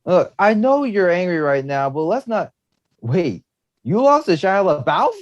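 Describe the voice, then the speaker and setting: Easygoing male slacker pal, acted by a man, expressive pitch, easily impressed, table-read comedy. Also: unhurried, dull audio. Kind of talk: screenplay table read acting.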